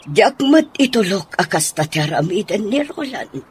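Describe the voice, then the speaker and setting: A woman's voice: medium pitch, big pitch swings, moderate pace, close to an everyday voice. A woman with an Ilocano accent acting as an older, sympathetic mother-in-law who is indignant at her son, in a radio drama. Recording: noticeable background noise.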